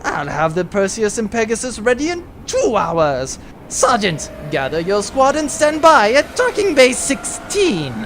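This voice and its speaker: A man's voice: sits high, wildly swooping pitch, unhurried, hardly any pauses, fairly bright tone, a little hiss or hum, full character voice. A man playing a pompous, boastful space baron in a theatrical space opera audio drama, with a bombastic, grandiose delivery. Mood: commanding. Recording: music behind the voice.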